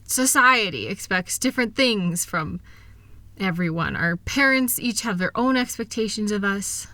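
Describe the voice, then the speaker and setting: A woman playing a kind, reassuring wife who gently and earnestly encourages her partner in an intimate one-sided roleplay audio. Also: medium pitch, lively intonation, unhurried, no room sound. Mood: understanding, reassuring.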